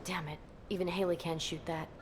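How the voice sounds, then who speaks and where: A woman's voice: on the low side, flat delivery, brisk, background noise, close to an everyday voice. A woman playing a confident, blunt, teasing female military commander in a scripted video game scene. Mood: alert, commanding. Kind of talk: scripted game cutscene acting.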